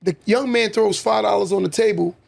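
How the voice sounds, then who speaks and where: Podcast co-host, man, raspy and gravelly, lively anecdotes. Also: sits high. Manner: animated storyteller, quick affirmations. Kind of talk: casual podcast conversation, storytelling.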